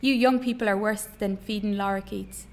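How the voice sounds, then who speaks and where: A woman reading aloud from a novel as a hearty, gruff Australian man, a farm boss who is fond but impatient. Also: high voice, fairly even intonation, normal speed. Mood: exasperated.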